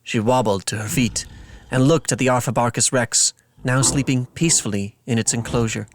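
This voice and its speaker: A man narrating a dramatic fantasy story in a measured, solemn manner. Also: medium pitch, fairly even intonation, a little hiss or hum. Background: music.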